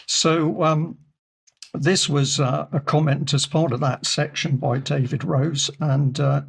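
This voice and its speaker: A man with a croaky, gravelly voice talks through a news story in a studio. He is slow and measured, with lots of hesitant fillers and a husky rasp. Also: medium pitch. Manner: measured, deliberate, many fillers. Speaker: regular commentator. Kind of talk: discussion commentary reading from articles.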